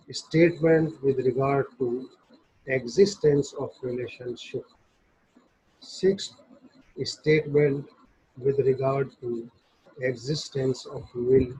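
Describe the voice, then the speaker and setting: A man's voice: medium pitch, mellow, husky. A man giving an explanatory law lecture, methodically setting out points in short separate phrases and switching between Hindi and English. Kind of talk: explanatory lecture, reading and explaining legal text. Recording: dull, muffled lo-fi recording.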